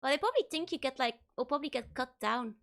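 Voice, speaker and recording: A young woman streaming a game, hoarse, giggling and rambling playfully as she chats. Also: sits high, animated, quick pace, fairly bright tone. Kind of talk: casual game stream chat.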